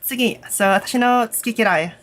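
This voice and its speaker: A Canadian woman streaming in a nervous, casual way, with lots of fillers, switching between English and halting Japanese. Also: fairly high pitch, fairly bright tone. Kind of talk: casual live stream chat.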